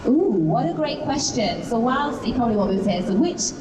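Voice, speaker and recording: A woman's voice: fairly high pitch, expressive, fast. A woman hosting a live question session on stage, chatty and encouraging with the audience. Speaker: event host. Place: large indoor event hall. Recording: echoey noisy event hall, distant microphone.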